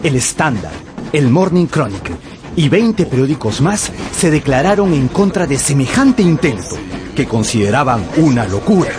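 Animated male radio storyteller, lively pitch. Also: normal speed, over music. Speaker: narrator. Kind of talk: dramatic scripted storytelling for radio.

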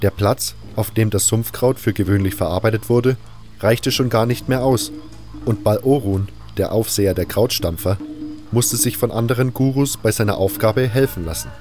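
A male narrator with a fairly low voice tells a fantasy story in the first person. It is scripted audio drama narration, and music runs clearly beneath his words. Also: moderate pace, darkish tone.

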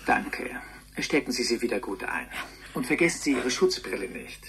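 Service robot, radio drama, formal, precise, courteous, swinging intonation, audible noise. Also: male voice, mid-range voice, very animated, normal speed. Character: polite, pedantic male service robot receptionist. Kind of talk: German radio drama acting.

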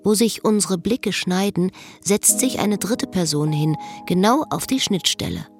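An actress playing a melancholy, wry woman who narrates and speaks in a literary radio play, measured and terse. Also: medium pitch, expressive, soft background music, natural voice. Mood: pensive. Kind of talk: literary radio play, inner monologue and dialogue.

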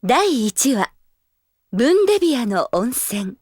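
A high-voiced, spirited female announcer calls audio drama titles. Her delivery is very lively in pitch, with light music behind it. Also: takes it slowly, husky, dry recording, full character voice.

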